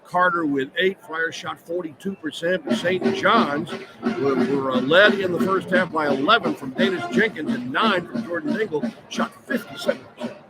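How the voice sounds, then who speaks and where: A man's voice: on the high side, moderate pace, heavy background noise. A man doing live play-by-play commentary for sports radio, speaking into a broadcast microphone from an arena. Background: loud music.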